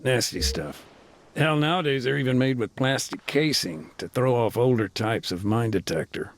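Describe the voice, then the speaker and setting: A middle-aged man voicing a frank former combat engineer turned demining worker, measured and matter-of-fact in a game cutscene. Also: medium pitch, lively intonation, natural voice. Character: weary male ex-soldier turned deminer, frank. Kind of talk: voiced game cutscene dialogue.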